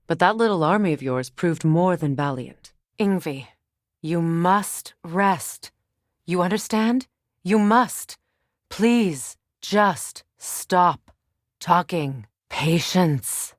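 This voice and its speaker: Battle-worn warrior sorceress, low female voice, measured, commanding, earnest game drama, close dry recording. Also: expressive, dry recording. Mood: gentle, firm. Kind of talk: dramatic video game voice acting.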